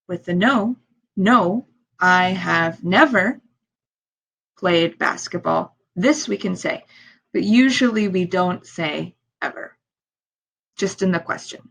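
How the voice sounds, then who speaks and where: A woman who is a native English speaker, teaching language learners step by step in a deliberate way, repeating key phrases and posing questions. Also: on the low side, gravelly, a bit bright, low-quality recording. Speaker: ESL teacher.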